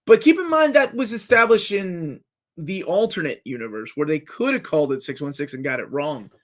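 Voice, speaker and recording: A man in his forties speaks in a slightly high voice. He hosts a live chat in a loose, casual way. He jumps from one comment to the next with a fan's excitement. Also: brisk. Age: 40s. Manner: excitable fan, jumps between comments and guesses.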